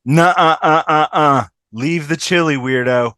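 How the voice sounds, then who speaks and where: A woman's voice: low-pitched, a little slow, fairly bright tone, no room sound. A woman playing a sardonic, bossy, casual young woman in a sitcom table read.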